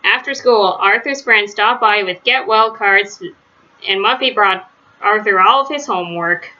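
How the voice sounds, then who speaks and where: A woman's voice: medium pitch, unhurried, pushed and bright, lo-fi sound. A woman reading a children's story aloud as narrator. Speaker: storybook reader.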